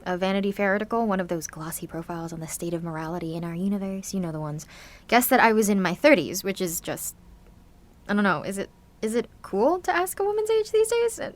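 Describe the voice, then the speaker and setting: A woman's voice: mid-range voice, lively intonation, fast, lightly acted. A woman playing a sarcastic, anxious seventeen-year-old supervillain, speaking theatrically in an audio drama monologue. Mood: irritated, sarcastic.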